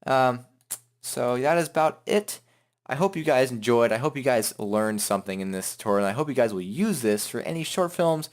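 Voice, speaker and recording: A man hosting a casual, improvised software tutorial, talking in an informal, rambling way. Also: mid-range voice, animated, moderate pace. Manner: informal, rambling, hums filler syllables while working. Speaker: tutorial host.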